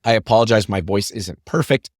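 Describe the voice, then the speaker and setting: A podcast host talks in a chatty, upbeat way and wanders into asides. His voice is on the low side and rough from a lingering cough. He speaks close into a headset, and the sound is dry. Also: a little fast, no echo. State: voice rough and lowered after a recent illness and cough. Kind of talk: casual podcast monologue.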